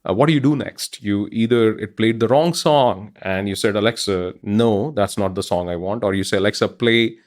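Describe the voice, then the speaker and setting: A middle-aged man with an Indian accent, a guest explaining his technical work in a measured way with many pauses. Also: fairly low pitch. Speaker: guest scientist.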